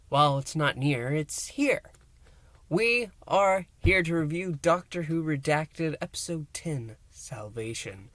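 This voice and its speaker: Theatrical male reviewer, higher-pitched voice. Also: fairly bright tone, no room sound. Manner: theatrical, emphatic, with dramatic pauses. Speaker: host, reviewer. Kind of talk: enthusiastic scripted-style recap and review to listeners.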